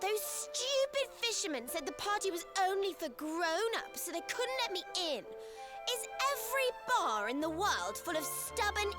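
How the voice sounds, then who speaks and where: A woman's voice: very high voice, sing-song, a little slow, full character voice. A woman voicing an indignant, bossy young girl adventurer in a video game, with a scolding, forthright manner. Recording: game audio over loud music.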